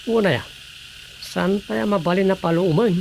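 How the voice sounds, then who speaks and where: A woman's voice: medium pitch, normal speed, gravelly, a little mellow, a little hiss or hum, natural voice. A woman playing a sensible young villager in an Ilocano radio drama. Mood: questioning.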